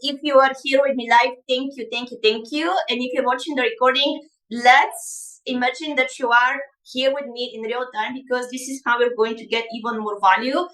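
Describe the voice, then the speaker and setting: Bulgarian-native lady coach giving a live masterclass, bright fairly high voice, echoey room. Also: steady tone, normal speed. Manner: upbeat, motivational, speaks to the audience directly.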